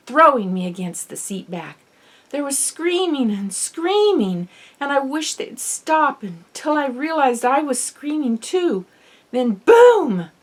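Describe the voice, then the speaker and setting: A woman author reading her own children's novel aloud in the first person, as expressive, dramatic storytelling. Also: fairly high pitch. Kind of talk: audiobook narration of children's fiction, first person.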